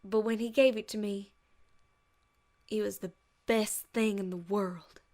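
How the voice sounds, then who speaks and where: A woman's voice: mid-range voice, fairly even intonation, unhurried, a little mellow, lightly acted. A woman voicing a folksy young farm pony mare in a cartoon fan story, savoring her tale as she tells it. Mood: savoring, nostalgic. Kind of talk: cartoon fan fiction voice acting.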